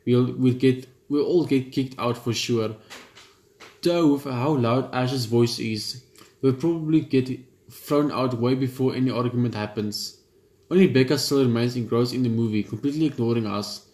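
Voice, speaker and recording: A man reading a horror visual novel aloud in the first person, steady but often stumbling and restarting lines. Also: medium pitch, moderate pace. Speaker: gaming channel host. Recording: roomy room with loud game music.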